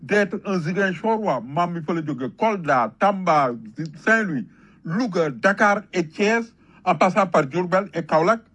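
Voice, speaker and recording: A man's voice: high voice, expressive, a little slow, a bit bright. A man with a Senegalese accent giving an impassioned patriotic talk, emphatic and rhetorical, telling stories with repetition. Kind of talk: impassioned patriotic public talk, switching between French and Wolof.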